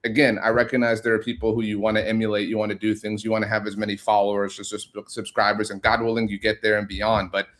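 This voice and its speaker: A man's voice: fairly low pitch, monotone, fast talker, few pauses, fairly bright tone. A man speaking as a podcast guest, thoughtful and encouraging, with many "like" fillers. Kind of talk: casual podcast chat.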